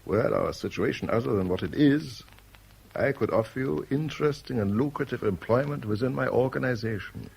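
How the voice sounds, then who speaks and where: An actor playing a suave, menacing crime boss with urbane, formal phrasing in a 1970s radio drama. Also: male voice, medium pitch, brisk, gravelly, darkish tone, breathy edge, low-quality recording. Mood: silky, courteous.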